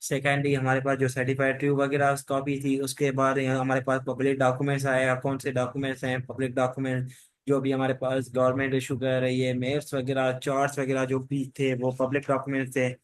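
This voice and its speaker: A man's voice: medium pitch, completely flat delivery, brisk, dry recording. A man giving an informal revision lecture, mixing English technical terms into his speech, with repetitive, loosely strung explanations. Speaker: law lecturer.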